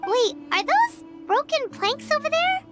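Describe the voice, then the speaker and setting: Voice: very high voice, very animated, unhurried, over music, exaggerated voice. A performer voicing a tiny, eager, childlike fairy companion in a video game, chirpy and inquisitive.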